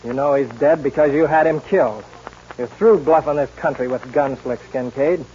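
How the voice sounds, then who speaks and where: Stern young cowboy hero played by an actor, old radio. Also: male voice, mid-range voice, normal speed, a little mellow, lightly acted. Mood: stern, defiant. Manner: firm, commanding, plain-spoken. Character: resolute young cowboy avenging his father, fearless and stern. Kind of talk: 1940s western radio acting. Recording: noisy muffled 1940s radio recording.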